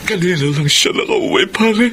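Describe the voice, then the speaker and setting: An actor with a Filipino accent playing a grieving, devoted middle-aged father in a radio drama, halting and emotional. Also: high-pitched, animated, background noise. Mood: anguished grief. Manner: slow, halting, emotional delivery. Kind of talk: Filipino radio drama acting with first-person narration. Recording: music behind the voice.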